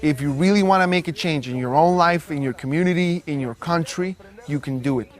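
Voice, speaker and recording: A young man with a slightly raised pitch speaks in a documentary interview. He explains things in an earnest, measured way. He co-founded a solar startup and is from Guatemala City. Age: young adult.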